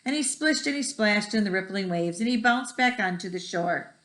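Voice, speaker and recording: A woman's voice: mid-range voice, lively intonation, moderate pace, a bit bright. A woman reading a children's picture book aloud expressively, with a rhythmic delivery that draws out repeated words. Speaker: read-aloud storyteller. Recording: echoey room.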